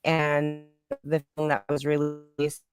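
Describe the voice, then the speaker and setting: A woman's voice: deep voice, monotone, slow pace. A middle-aged woman chatting casually as a guest on a talk show. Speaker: podcast guest. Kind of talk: casual chat. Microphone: laptop on an online video call.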